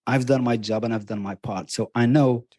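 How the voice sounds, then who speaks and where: A Moroccan-accented man in his fifties, a guest on a podcast, talks at a medium pitch and takes his time with his stories. He fills pauses with ums and restarts his sentences often. The recording is close and dry, with little room sound. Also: steady tone, warm tone, no echo. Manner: unhurried storytelling with many ums and restarts. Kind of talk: casual interview chat.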